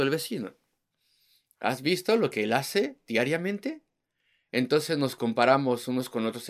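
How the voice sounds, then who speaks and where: A man's voice: medium pitch, a bit bright. A man preaching an evangelistic sermon, driving his points home with rhetorical questions and repeated words in lists.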